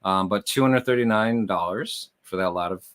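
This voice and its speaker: Low-voiced man hosting a casual livestream. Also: normal speed, a bit bright. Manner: conversational, addresses viewers directly. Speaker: livestream host and reseller. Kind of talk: casual explanatory livestream chat.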